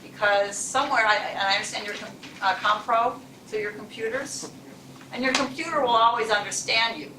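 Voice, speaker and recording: A woman's voice: on the high side, fairly quick, recorded from a distance, background noise. A woman, the club president, giving chatty, encouraging welcome remarks to guests in a chilly meeting room. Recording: echoey room, mic from afar.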